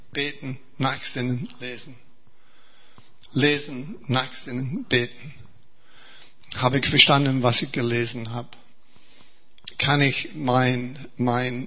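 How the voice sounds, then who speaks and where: An Englishman preaching in German, a second language learned alongside his native English, speaking slowly and earnestly with many repetitions and restarts. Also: mid-range voice, pushed and bright, lo-fi sound. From England. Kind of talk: sermon.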